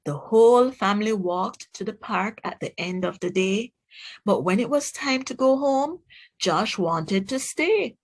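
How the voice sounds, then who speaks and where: A woman reading a children's storybook aloud in a deliberate, expressive way, speaking directly to young listeners. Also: medium pitch.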